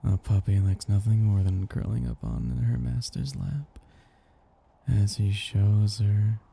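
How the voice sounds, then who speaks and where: A very dark, low-pitched vampire master, acted out by a man for intimate ASMR roleplay. He speaks slowly and breathily, with teasing, condescending affection and a possessive, aristocratic air that swings between murmurs and fuller tones. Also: gravelly, full character voice. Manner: slow, teasing, condescendingly affectionate, with whispers and chuckles.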